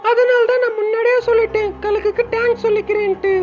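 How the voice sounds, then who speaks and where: A man voicing a very shrill chattering parrot. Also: flat delivery, fast, dull audio, faint music behind. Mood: nervous, placating. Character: frightened, chatty female parrot, friend of a ghost.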